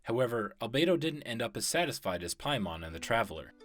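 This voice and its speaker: A man's voice: medium pitch, big pitch swings, fast, a bit bright. A man delivering scripted explanatory narration as a video essayist on game lore. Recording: voice-over with prominent music. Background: music.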